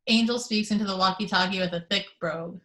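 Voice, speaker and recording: A woman's voice: mid-range voice, fast talker. Woman reading screenplay directions. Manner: steady, matter-of-fact reading. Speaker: action description reader. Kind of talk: reading screenplay action lines.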